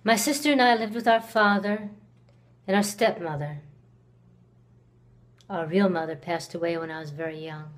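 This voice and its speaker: A woman performing a dramatic monologue as a cold, reclusive, resentful woman accused of murder in the 1890s, with deliberate pauses. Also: fairly low pitch, close to an everyday voice. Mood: cold, somber. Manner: slow, deliberate delivery with long pauses.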